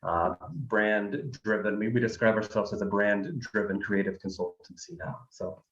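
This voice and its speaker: A man's voice: on the low side, moderate pace, fairly bright tone. A man in his thirties from Alabama, a design firm founder talking through his career in a loose, rambling way with many ums. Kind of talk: conversational interview. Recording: dull, lo-fi sound.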